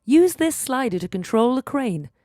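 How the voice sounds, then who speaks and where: A woman's voice: on the high side, big pitch swings, normal speed, dark tone, dry recording. Dry, close-recorded woman narrating game instructions.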